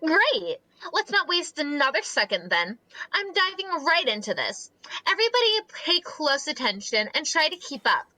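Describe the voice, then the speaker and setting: Woman as a high, bright, bossy leader. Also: big pitch swings, moderate pace, dry recording, heavily acted, low-quality recording. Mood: commanding. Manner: officious, commanding briefing. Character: brisk, bossy young military-minded leader. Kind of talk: dramatic reading of a comic.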